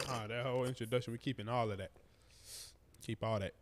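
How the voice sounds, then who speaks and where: A man's voice: mid-range voice, fairly even intonation, quick pace, background noise. A man hosting a casual podcast, talking in a laid-back, slangy way. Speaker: podcast host.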